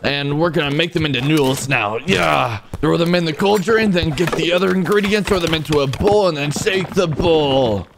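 Man voicing an eager, creaky young cartoon chef. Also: on the high side, moderate pace, hardly any pauses, background noise. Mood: confident, instructive. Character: eager young male stick-figure chef, bossy and excitable. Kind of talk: cartoon fan voice-over.

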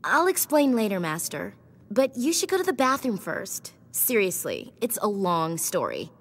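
A woman's voice: medium pitch, huge pitch swings, normal speed. An actress playing a young, lethal, self-assured girl assassin in a video game, blunt and devoted. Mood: matter-of-fact. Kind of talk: video game cutscene acting. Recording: game audio with faint ambient noise.

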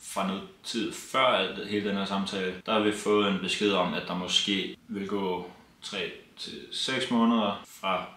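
Low-voiced young man, bright tone, echoey room. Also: flat delivery. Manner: plain, open, a bit wry. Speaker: vlogger, partner. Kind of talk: casual personal talk to camera. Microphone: camera on tripod, seated. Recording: roomy echo.

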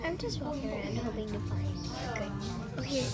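High-voiced young woman chatting gameplay. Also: lively intonation, a little fast, over loud music. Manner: chatty, talks to viewers as y'all; reads game characters' lines aloud. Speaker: gameplay video creator. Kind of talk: casual gameplay commentary.

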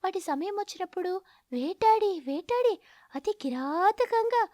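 Woman voicing a high, anxious little sparrow. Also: animated, unhurried, darkish tone, no room sound, clean studio sound. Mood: anxious warning. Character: frightened clever little sparrow warning her captor.

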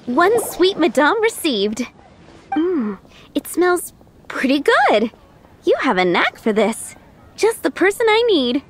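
A woman voicing an earnest, flustered young tavern waitress, polite and expressive, in a video game. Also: sits high, slow pace, a little hiss or hum, full character voice. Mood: friendly, pleased. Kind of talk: video game cutscene acting.

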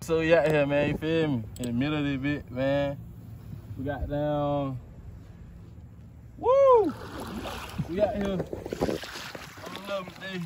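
A young man vlogging casually outdoors on a lake, talking in slang with excitable, nervous laughter into a phone held close. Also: fairly high pitch, slow, long pauses, slight background noise. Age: young adult. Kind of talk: casual vlog chat. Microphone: phone camera held close.

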